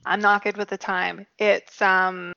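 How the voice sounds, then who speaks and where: A woman's voice: medium pitch, flat delivery, measured pace, vocal fry, pushed and bright, lo-fi sound. A woman from Canada with a British Columbia accent, a guest author chatting casually and a little hesitantly on a podcast over a video call.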